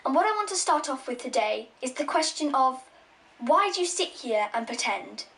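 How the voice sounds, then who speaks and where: A woman's voice: high-pitched, expressive, moderate pace, fairly bright tone, far from the mic, slight background noise. Twelve-year-old girl, earnest. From England. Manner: earnest, challenging. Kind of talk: recorded video speech.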